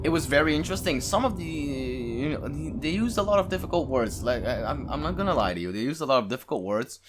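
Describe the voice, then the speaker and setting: A man hosting a gaming video, giving informal, expressive reactions and casual gameplay commentary. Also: medium pitch. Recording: music behind the voice.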